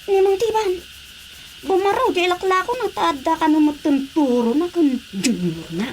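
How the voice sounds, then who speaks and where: A woman's voice: extremely high pitch, animated, moderate pace, a little mellow, a little hiss or hum. A woman playing a nosy, chatty, opinionated village woman in a comic radio drama, speaking with an Ilocano accent. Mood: curious.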